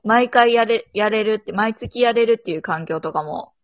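A woman chatting reflectively as a guest, haltingly thinking aloud. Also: medium pitch, dry recording, highs cut off. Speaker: guest, amateur theater troupe founder. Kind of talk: casual reflective chat.

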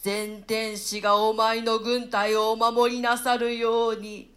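Woman doing a drowned duke's ghost, slow and grim, low-key. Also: little pitch movement, fairly bright tone. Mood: blessing. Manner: slow, low, deliberate delivery. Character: ghost of a drowned male duke, grim and cursing. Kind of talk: radio play reading.

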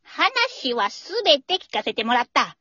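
A high-voiced old male vice principal in a comic radio play. He is pompous and childishly wheedling, with swooping intonation. The close, dry sound is a little dull. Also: very animated, measured pace, pushed and bright, dry recording, exaggerated voice. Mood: pompous, stern. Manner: pompous, then whiny and childish, old-man speech. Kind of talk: amateur comedic radio drama acting.

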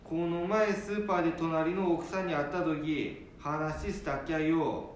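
A man's voice: fairly even intonation, measured pace, close to an everyday voice. An actor playing a blunt old grandfather with a Nanbu dialect from northern Japan in an amateur radio drama. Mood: explaining. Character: blunt, sturdy old Japanese grandfather worried for his son. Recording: very echoey room, faint rumbling noise.

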